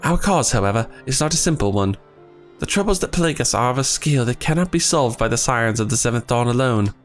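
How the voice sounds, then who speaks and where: A man reading as a grave, earnest young scholar who speaks in formal, old-fashioned phrases, his voice a bit worn and scratchy. The delivery rolls up and down with lively pitch. Faint game music plays underneath. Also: fast talker, lightly acted. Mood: solemn. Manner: archaic, formal phrasing, read in his own voice. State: losing his voice.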